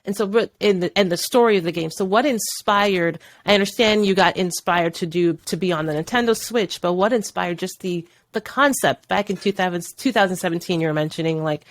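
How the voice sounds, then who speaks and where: A woman's voice: lowish voice, dry recording. A woman interviewing a game developer, asking enthusiastic questions that stumble and restart. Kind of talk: casual interview.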